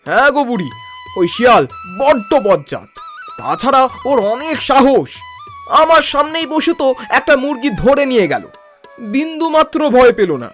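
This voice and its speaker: A performer voicing a helpful, concerned village man in a children's cartoon, earnest and expressive in a familiar way. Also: high voice, normal speed, soft background music. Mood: agitated. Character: helpful, concerned village neighbour named Ratan, male. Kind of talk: Bengali children's cartoon dialogue.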